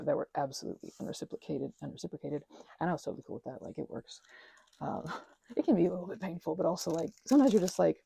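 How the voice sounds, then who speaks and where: A woman in her thirties chatting in a soft, rambling way, full of fillers and self-corrections, as an ASMR host. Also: low voice, vocal fry, slightly rough, dry recording. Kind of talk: rambling personal chat. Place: quiet room at a craft table.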